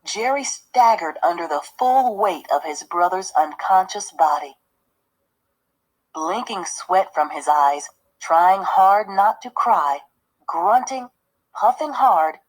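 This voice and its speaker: A woman teacher giving a read-aloud lesson, explaining the story in a measured way and pausing to put questions to listeners. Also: fairly low pitch, poor audio.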